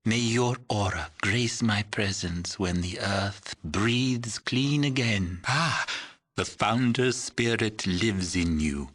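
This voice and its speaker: A man's voice: fairly low pitch, a bit bright. An actor playing a frail old village shaman in a video game, mystical and solemn, speaking in ceremonious, cryptic riddles. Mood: solemn, benevolent. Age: older. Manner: slow, ceremonious, cryptic, archaic phrasing. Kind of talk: scripted video game voice acting.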